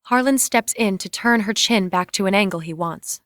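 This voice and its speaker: A woman's voice: medium pitch, normal speed, fairly bright tone, dry recording, studio quality. A woman narrating the scene directions of a script in a scripted dramatic reading.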